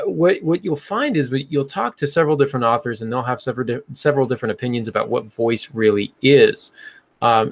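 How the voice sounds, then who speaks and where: A man's voice: mid-range voice, vocal fry, a bit bright. A man hosting a podcast, talking casually in a chatty, explanatory way. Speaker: podcast host.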